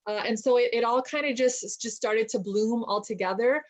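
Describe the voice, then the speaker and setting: A woman speaking as a math teacher on a panel in a relaxed, conversational way with frequent ums. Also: a little high, moderate pace. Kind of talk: panel discussion. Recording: dull lo-fi audio.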